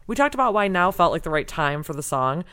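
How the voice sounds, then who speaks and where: A woman hosting a casual podcast from an office studio, with a low-set voice that stays smooth and clear. She chats in an upbeat, easygoing way and laughs easily. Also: big pitch swings, quick pace, pushed and bright. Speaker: podcast host. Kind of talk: casual podcast chat and interview.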